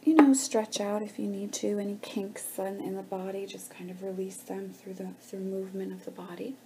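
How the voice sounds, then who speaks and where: A woman leading a guided meditation in a gentle, hushed, soothing manner with pauses between phrases. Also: mid-range voice, little pitch movement, background noise.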